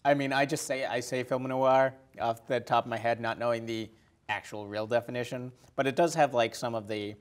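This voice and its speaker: A man with an average-pitched voice chats about films as a podcast co-host. His delivery is casual and tentative, and he words his opinions loosely. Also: fairly even intonation, brisk.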